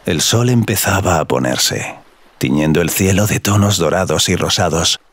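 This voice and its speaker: A man's voice: low voice, normal speed, no echo. A man narrating a scripted romantic audio story as a storyteller. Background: music.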